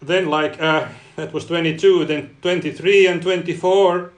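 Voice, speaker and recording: Halting Finnish-native man, echoey room. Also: on the high side, fairly even intonation. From Finland. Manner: halting, many repeated words. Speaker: guest researcher. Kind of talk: podcast interview.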